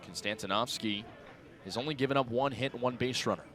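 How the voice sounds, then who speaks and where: A young adult man works as a baseball radio announcer, giving live play-by-play in clipped, descriptive phrases. His voice sits at an ordinary male pitch and follows the action closely. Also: fast talker. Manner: clipped descriptive phrasing, rising excitement on plays. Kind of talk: live radio sports commentary.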